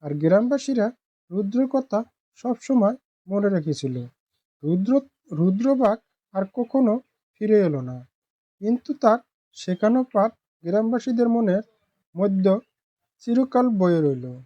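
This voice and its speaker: A woman narrating a scripted village fable as a storyteller, in a measured, earnest manner. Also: medium pitch, animated, dark tone, no room sound, highs cut off.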